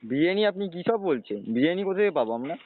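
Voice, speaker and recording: A man's voice: a little high, big pitch swings, very fast. A man on a prank phone call, talking in a mock-earnest, insistent way. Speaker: prank caller. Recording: narrow phone line with noise.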